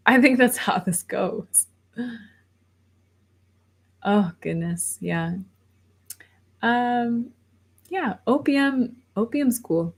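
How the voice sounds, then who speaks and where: A woman's voice: fairly high pitch, expressive, extremely slow, pauses often, breathy edge. A woman in her thirties, rambling casually on a livestream and reading viewer comments aloud before answering them. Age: 30s. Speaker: livestream host.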